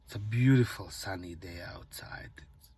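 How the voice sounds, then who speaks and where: Deep-voiced Bosnian-born man musing softly. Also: normal speed, breathy edge. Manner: musing, soft-spoken, drifts into near-whispers. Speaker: vlogger. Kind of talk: casual reflective monologue. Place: parked car.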